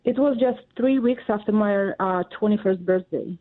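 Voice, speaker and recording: A woman in her forties from Russia, speaking English with a Russian accent as an interview guest, halting and full of fillers. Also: mid-range voice. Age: 40s. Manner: halting, many fillers and pauses. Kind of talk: interview answers. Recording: tinny phone line.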